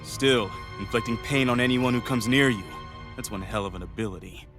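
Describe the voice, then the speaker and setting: An actor playing a hot-headed, bitter rebel fighter in a game, sneering and confrontational. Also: male voice, medium pitch, a little fast, natural voice. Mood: sneering, accusing. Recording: background music under voice.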